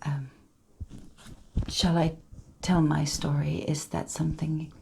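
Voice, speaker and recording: A woman's voice: sits low, little pitch movement, takes it slowly, vocal fry, warm tone, a little hiss or hum, exaggerated voice. A woman performing a dramatic monologue as an earnest, emotional survivor, confiding and hesitant in direct address. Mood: earnest, tentative.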